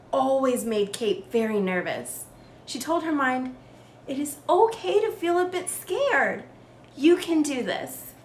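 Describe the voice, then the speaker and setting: A woman reading a children's storybook aloud in an animated, carefully enunciated way, as a storyteller for kids. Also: high voice. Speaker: children's read-aloud storyteller, show host. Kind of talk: scripted storybook narration for kids. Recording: roomy echo with faint background noise.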